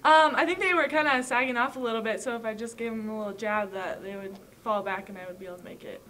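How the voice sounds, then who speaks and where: A young woman basketball player of about twenty giving short, halting post-game answers with restarts. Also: on the high side, fairly bright tone. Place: press conference room. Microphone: table mic.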